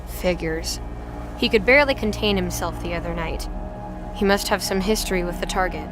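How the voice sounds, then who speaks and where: A woman's voice: lowish voice, moderate pace, over music, close to an everyday voice. A woman playing a lovelorn, loyal death goddess in a mythology audio drama, self-conscious and deferential to her superiors. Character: tall lovelorn young female death goddess, loyal sniper.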